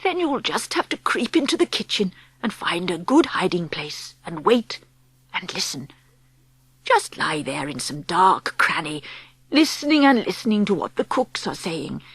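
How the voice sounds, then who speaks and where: Woman as a breathy, measured old grandmother. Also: mid-range voice, big pitch swings, a bit bright, no room sound, full character voice. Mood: hushed scheming. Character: gentle, determined old grandmother, fond and unflappable.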